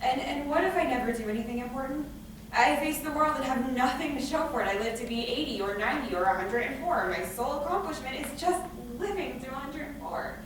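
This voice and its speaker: A very echoey, noisy live recording of a stage reading. An actress plays a nervy, stubborn young woman with a fairly high voice. She rambles emphatically, dreading every possible disaster. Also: fairly quick, natural voice. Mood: subdued, anguished. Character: anxious young woman, dramatic, stubborn, afraid to leave her room. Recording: echoey room from far off, noisy.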